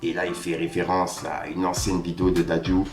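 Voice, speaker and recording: Man, rap news host, low voice, slangy and casual, roomy echo. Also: little pitch movement, a little fast, a little mellow, faint music behind. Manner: casual street slang, reads posts aloud.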